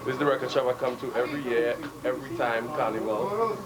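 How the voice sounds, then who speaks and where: A man casually and briskly showing off a busy record shop. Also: medium pitch, animated, background noise. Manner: brisk, showing things around. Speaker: carnival visitor. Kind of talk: casual chat. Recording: loud crowd behind.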